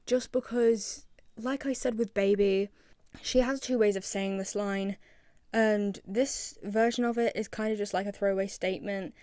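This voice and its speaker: A woman's voice: mid-range voice, fairly even intonation, moderate pace, dark tone, no echo, studio quality. A young woman giving casual, rambling commentary as a video creator, with a self-deprecating manner.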